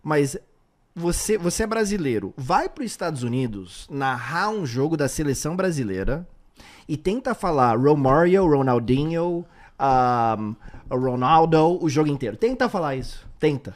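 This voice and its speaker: A man's voice: on the high side, moderate pace. A man with a slight American accent whose first language is English, a sports commentator telling stories in a casual podcast chat. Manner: animated storyteller.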